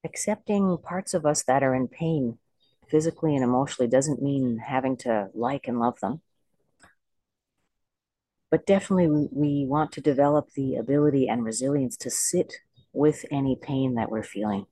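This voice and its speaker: A meditation teacher leading a guided body scan in slow, unhurried phrases with pauses. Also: medium pitch, mellow, dry recording.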